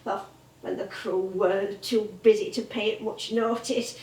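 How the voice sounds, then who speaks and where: An actress playing an elderly English music hall comedienne on stage, chatty, rambling and nostalgic. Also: female voice, mid-range voice, fairly even intonation, unhurried, a little mellow, close to an everyday voice. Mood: wistful. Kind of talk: theatrical stage monologue and dialogue. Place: theatre stage. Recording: echoey theatre, distant mic.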